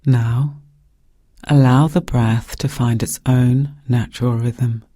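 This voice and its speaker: Mellow, breathy man guiding meditation. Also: medium pitch, dry recording, studio quality. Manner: calm, gentle, measured phrasing with pauses. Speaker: meditation guide. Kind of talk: guided meditation narration.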